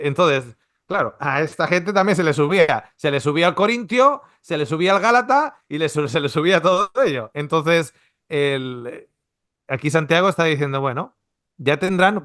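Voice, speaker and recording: A man's voice: a little high, fairly bright tone, no echo, studio quality. Man teaching a seminar. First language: Spanish. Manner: explanatory, conversational, with many restarts and rhetorical questions. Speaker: Bible teacher. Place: Australia.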